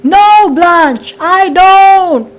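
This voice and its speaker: A woman's voice: extremely high pitch, animated, extremely slow, exaggerated voice. A woman playing an obliging, unsuspecting man in an amateur radio drama, earnest and straightforward. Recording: faint background noise, amateur recording.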